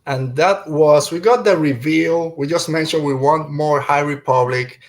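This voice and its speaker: A man's voice: fairly high pitch, a little slow. A man hosting a podcast in a casual, rambling, joking way. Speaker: podcast host.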